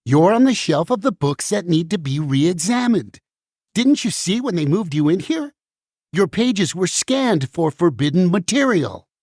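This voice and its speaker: A woman's voice: fairly low pitch, sing-song, a little slow, darkish tone, no room sound, studio quality. Woman as a dramatic, swooping doomed book. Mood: pressing, sardonic. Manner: dramatic, urgent, interrogating. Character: frantic, world-weary banned book, burned and warning others. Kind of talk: musical puppet show acting.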